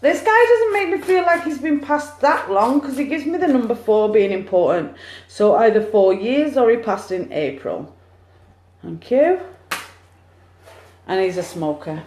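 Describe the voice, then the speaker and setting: A woman as a psychic medium, chatting excitedly through a live-streamed card reading on her phone. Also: fairly high pitch, a little slow, slight background noise. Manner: chatty, excitable, cheering on share counts. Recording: echoey room, phone mic.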